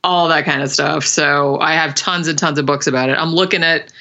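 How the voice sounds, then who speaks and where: A woman's voice: low-pitched, fairly even intonation, a little fast, sharp tone. A woman co-hosting a podcast in casual chat, rambling along with lots of fillers and little giggles. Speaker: podcast co-host.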